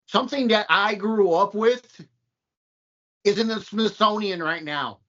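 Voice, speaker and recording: A man in his late thirties hosting a podcast, telling stories animatedly with high exclamations. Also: a little slow, bright, forceful tone. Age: late 30s. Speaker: podcast host. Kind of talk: casual chat. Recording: dull lo-fi sound.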